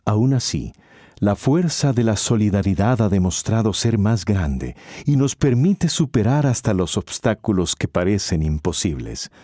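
A man's voice: fairly low pitch, big pitch swings, a little slow, mellow, no room sound, studio quality. A man with a Central American accent, narrating a radio drama from a script.

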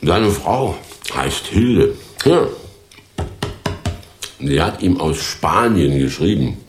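An actor playing a bitter, boastful down-and-out former stage actor in a German radio play, with a theatrical, sardonic, crude manner and a gravelly voice. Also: male voice, animated, slow, a little hiss or hum. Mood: mocking, ranting. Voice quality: very deep, gravelly, husky.

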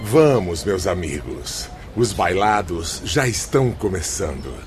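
An actor playing a dignified, lofty poet's spirit in a Brazilian radio drama, with a pompous, declamatory manner. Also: male voice, low-pitched, very animated, a little slow. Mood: genial. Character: Vitor Hugo, dignified, lofty poet spirit. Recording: music under the voice.

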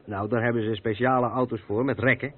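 An actor the playing a seasoned, patient truck driver who explains things matter-of-factly in a radio play. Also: male voice, mid-range voice, fairly even intonation, a little fast, close to an everyday voice. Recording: tinny, noisy old radio recording.

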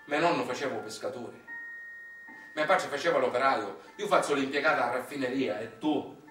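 A man's voice: medium pitch, brisk, fairly bright tone, close to an everyday voice. An actor playing a wry, impassioned working-class Sicilian father in a theatrical monologue, declamatory and colloquial, with a Sicilian accent. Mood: fatherly. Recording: echoey distant stage recording with music.

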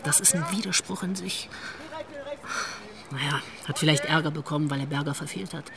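A woman's voice: sits low, a little fast, vocal fry, crowd noise. An actress playing a wry, worldly police investigator in a radio drama, telling what she knows in a knowing manner. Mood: teasing, confiding. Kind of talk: naturalistic radio drama acting.

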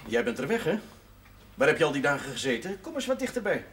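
Casting: a wry, paternal parish priest in television drama, medium pitch, very expressive melody, slightly noisy soundtrack. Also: male voice, quick pace. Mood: wry, inquiring. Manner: paternal, gently admonishing. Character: fatherly, wry male village parish priest. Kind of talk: television period drama acting.

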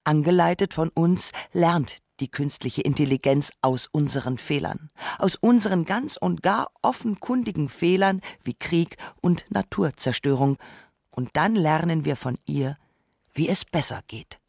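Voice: medium pitch, a little slow, vocal fry, darkish tone, breathy edge, no room sound, studio quality. A narrator reading a scripted radio essay.

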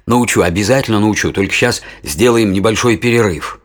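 An actor playing a patient father who explains science in a measured, didactic way in an educational audio play. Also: lowish voice, natural voice.

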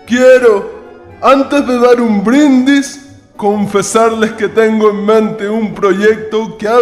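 An actor playing an earnest, idealistic colonist in a radio drama, speaking in solemn, declamatory oratory. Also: male voice, sits high. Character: Augusto, earnest idealistic male colonist dreaming of a utopian commune. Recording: echoey reverberant sound with music behind.

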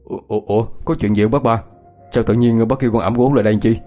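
A man's voice: on the low side, fast, darkish tone, dull audio, soft background music, lightly acted. A man voicing a village farmer in a storytelling, a fretful young father, humble, flustered and hesitant. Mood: surprised.

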